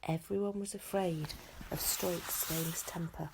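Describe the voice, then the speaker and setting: A woman teacher reading a children's book aloud with expressive storytelling. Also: on the low side, measured pace, creaky, noisy background. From England.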